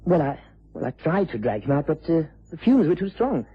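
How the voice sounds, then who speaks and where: Husky, earnest young British gentleman. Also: a little high, very animated, a little fast, heavily acted. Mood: shocked, urgent. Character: earnest, agitated young upper-class nephew. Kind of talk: 1950s-60s British radio drama acting. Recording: muffled vintage radio drama recording.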